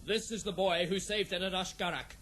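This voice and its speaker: Man playing a high-voiced noble warrior prince. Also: fairly even intonation, fairly quick, fairly bright tone. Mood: proud, stirring. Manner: declamatory, formal, stately. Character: proud, noble warrior prince, loving father. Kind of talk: fantasy audio drama acting.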